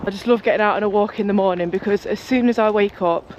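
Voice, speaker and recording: Chatty girl vlogging, bit croaky, faint background hiss. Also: mid-range voice, fast talker, darkish tone. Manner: chatty, rambling, talks a lot. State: croaky just-woken voice. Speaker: cycling vlogger. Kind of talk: casual vlog chat to camera. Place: home, then outdoors on a walk.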